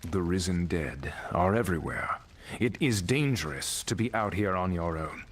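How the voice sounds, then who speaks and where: A man playing a calm, solemn necromancer hero in a video game, measured and reserved. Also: sits low. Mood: reassuring, grave.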